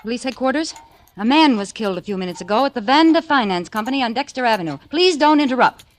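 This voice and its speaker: An actress playing a bossy, scheming wife in a 1940s radio thriller, with a curt, sardonic manner. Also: female voice, a little high, expressive, fast talker, natural voice. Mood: urgent, clipped.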